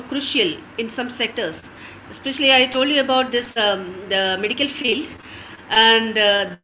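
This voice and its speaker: A woman, an expert panelist explaining point by point in a halting, repetitive way. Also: sits high, pushed and bright, a little hiss or hum, poor audio.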